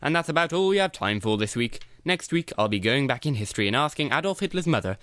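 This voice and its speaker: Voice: mid-range voice, expressive, fast talker, fairly bright tone, no room sound, clean studio sound, natural voice. A performer playing a pompous, boring historian narrating a radio play.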